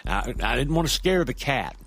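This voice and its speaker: Man playing a halting, nervy street storyteller. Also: medium pitch, sing-song, vocal fry, a bit bright, a little hiss or hum. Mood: hushed storytelling. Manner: halting, stammering, conversational, with tag questions. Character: nervy, rambling street-wise man recounting a frightening encounter. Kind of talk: audio drama first-person horror monologue.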